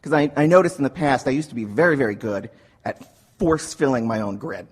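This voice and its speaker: A man sharing a personal story informally with an audience, animated and halting, repeating words and acting out quotes. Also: mid-range voice, slightly rough. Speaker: workshop participant sharing his story.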